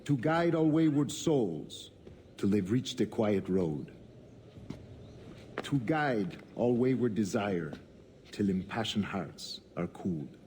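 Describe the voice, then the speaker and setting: A man's voice: on the low side, darkish tone, slight background noise. A man playing a commanding, courteous Spanish governor and secret grandmaster in a game, formal and ceremonious with a Spanish accent. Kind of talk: solemn dramatic game acting.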